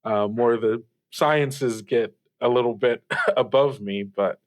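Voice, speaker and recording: A man's voice: medium pitch, slow pace, close to an everyday voice. A man playing a young, kindly late-night radio host who talks in a hesitant, stammering, self-effacing way with nervous giggles. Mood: gentle, unsure. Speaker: radio call-in show host. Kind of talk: improvised call-in radio acting, casual.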